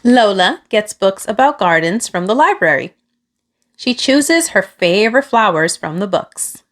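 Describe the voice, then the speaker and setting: A woman reads a picture book aloud for young children. She takes her time over each phrase, speaking slowly and deliberately. Her mid-pitched voice moves up and down expressively as she narrates. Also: expressive. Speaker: children's storybook reader.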